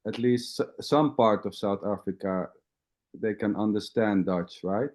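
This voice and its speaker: A man in a casual group chat who speaks in a slow, deliberate way. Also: lowish voice, a little mellow.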